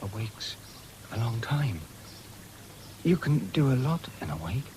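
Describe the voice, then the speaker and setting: Earnest farm lad, swooping intonation. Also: male voice, mid-range voice, big pitch swings, unhurried, darkish tone. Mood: shy, tentative. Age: young adult. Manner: hesitant, stumbling over words. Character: earnest young farm lad, halting but determined. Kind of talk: 1970s British radio drama acting. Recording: noisy old radio broadcast recording.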